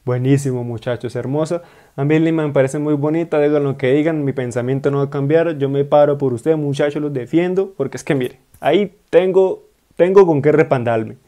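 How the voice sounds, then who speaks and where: A young man, chatting excitedly in slang as he reacts to a video in a small room, speaking into earphones. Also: fairly high pitch, a little fast. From Colombia. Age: 20s. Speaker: YouTube reactor. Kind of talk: casual reaction commentary. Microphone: wired earphone mic.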